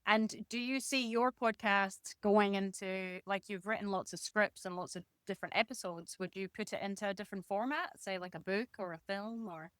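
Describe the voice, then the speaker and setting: A woman's voice: medium pitch, normal speed, hardly any pauses, fairly bright tone, clear, resonant tone, no room sound. Encouraging woman podcast host, dry close sound. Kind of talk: conversational interviewing.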